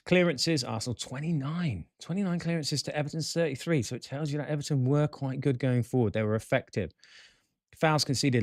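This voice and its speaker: A man hosting a football fan show, reading out match stats and player ratings with chatty asides in a croaky voice. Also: mid-range voice, dry recording, clean studio sound. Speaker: football podcast host. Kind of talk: casual fan-show commentary.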